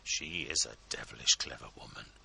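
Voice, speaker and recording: A man voicing an awkward, slow-witted young gentleman who talks in a halting, stiff way. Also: extremely low pitch, vocal fry, husky, voice pushed down, heavily acted.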